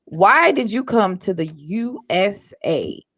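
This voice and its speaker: A woman hosting a casual chat, upbeat and asking quick questions. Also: medium pitch, expressive, a bit bright, dry recording. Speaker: host.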